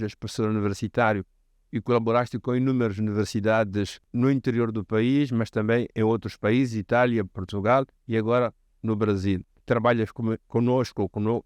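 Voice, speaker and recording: A man's voice: fairly low pitch, clear, resonant tone, no room sound, clean studio sound. Mozambican man hosting, discursive and professorial. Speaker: host. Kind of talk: reflective interview conversation.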